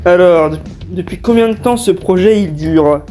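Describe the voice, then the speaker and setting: A young man giving an informal, halting explanation as a fan dub creator, answering questions. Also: sits high. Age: young adult. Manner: hesitant, halting delivery. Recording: faint music behind.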